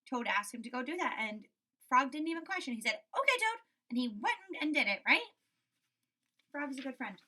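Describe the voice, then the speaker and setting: A woman teacher reading a story aloud to children and commenting on it, expressive, often checking in with her listeners. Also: sits high, brisk. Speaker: school teacher.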